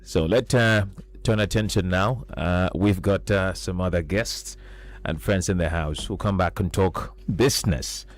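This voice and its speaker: Male morning radio host, very low voice, easy studio chat. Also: a little slow, hardly any pauses, slight background noise. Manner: relaxed, with frequent "uh" fillers. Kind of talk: morning radio talk show hosting.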